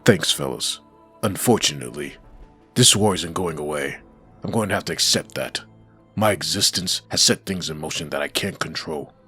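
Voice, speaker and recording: A man acts out a brooding undead comic-book antihero. The voice is low and extremely rough and husky, grim in manner, with music underneath. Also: expressive, moderate pace, bright, forceful tone, exaggerated voice. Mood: grave resolve. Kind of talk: comic dub acting.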